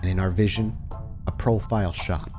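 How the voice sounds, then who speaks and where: A man's voice: sits low, fairly even intonation, normal speed, over music. A man speaking as a podcast host and game storyteller, with an informal delivery. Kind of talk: casual podcast chat, then slow scene narration for a role-playing game.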